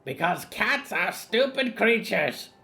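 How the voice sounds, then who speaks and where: A male narrator voicing a curious, gossipy raven-demon that croaks and talks about itself in the third person. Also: high-pitched, big pitch swings, slow pace, sharp tone, pitched above the performer's own voice, exaggerated voice. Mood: triumphant. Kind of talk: audiobook character voice.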